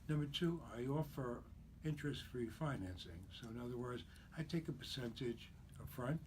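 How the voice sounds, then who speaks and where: A man in his seventies, born in Czechoslovakia and raised in New York, explains his work in a steady, businesslike way as a guest on a radio interview. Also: medium pitch, normal speed. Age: 70s. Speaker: guest, cemetery broker. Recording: radio broadcast with steady background noise.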